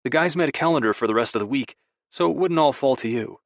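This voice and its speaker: A man acts out a loving husband in a romance audiobook. He speaks quietly and intimately at a medium pitch, affectionate with a playful edge. The recording is dry, with no room sound. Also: expressive, fast, vocal fry, no room sound. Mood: gentle, caring. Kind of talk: audiobook dialogue.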